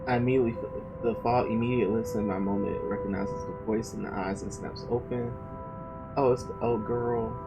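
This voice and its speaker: Streamer type: a man with a low voice, casual and a bit clumsy, with loud music behind. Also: fairly even intonation, fairly quick, creaky, poor audio. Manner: stumbling, restarting casual reading, swears. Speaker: game streamer reading the story aloud. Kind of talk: reading visual novel narration aloud.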